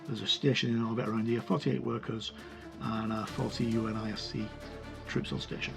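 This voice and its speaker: A man's voice: fairly low pitch, level tone, brisk, vocal fry. A man giving a casual, unscripted review as he leafs through a book, hesitantly listing items aloud. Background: music.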